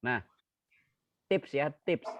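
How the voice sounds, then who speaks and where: A man giving an online seminar talk as a trainer, lively and joking, sometimes acting out quoted dialogue. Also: mid-range voice, drawn-out words, no room sound. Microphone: video call. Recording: dry, dull lo-fi video call audio.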